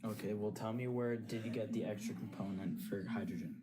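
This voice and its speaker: A young man in his twenties calls in to argue, his low voice insistent as he presses the same question again and again. Steady noise hisses behind his line. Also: brisk. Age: 20s. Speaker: caller. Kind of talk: call-in debate. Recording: noisy call-in audio.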